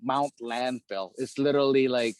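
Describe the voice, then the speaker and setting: A man's voice: medium pitch, steady tone, moderate pace, clear, resonant tone, dry recording. A man from the Philippines, a hockey repair shop owner, chatting casually as a podcast guest, rambling with lots of "dude" and "you know".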